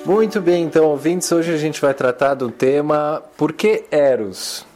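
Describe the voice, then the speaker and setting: A man's voice: on the high side, a little slow, darkish tone, faint music behind. A man in his thirties hosts a community radio talk, thinking aloud and restarting phrases as he searches for words. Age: 30s. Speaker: radio program host. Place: publisher's recording studio. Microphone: handheld recorder.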